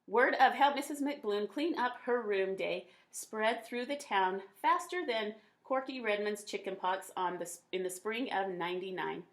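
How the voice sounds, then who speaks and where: A woman reading a children's picture book aloud in an expressive, folksy storytelling manner. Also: medium pitch.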